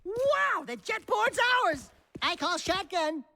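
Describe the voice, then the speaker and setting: A small, cocky rodent sidekick from a cartoonish video game, sarcastic and flippant. The voice is very high and leaps around, with music behind it. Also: male voice, big pitch swings, takes it slowly, gravelly, full character voice. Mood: excited. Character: wisecracking cocky small orange rat-like male sidekick.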